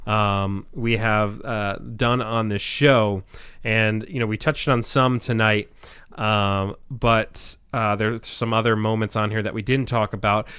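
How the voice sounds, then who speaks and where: A man co-hosting a podcast in casual chat, talking loudly and rambling with frequent laughs. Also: lowish voice, fairly even intonation, a little slow, clean tone, no echo, clean studio sound. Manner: loud, rambling, laughs often. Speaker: podcast co-host.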